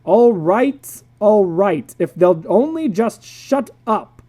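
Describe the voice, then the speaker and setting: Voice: mid-range voice, expressive, slow, mellow, voice pushed up. A performer voicing a fed-up, stern husband and father, speaking emphatically and with exasperation. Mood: exasperated.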